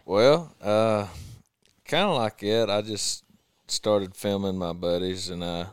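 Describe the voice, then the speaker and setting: A man's voice: lowish voice, takes it slowly, clean tone, no room sound, clean studio sound. A young man in his twenties with a Southern US accent, telling his story as a podcast guest. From American South. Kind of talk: casual podcast chat.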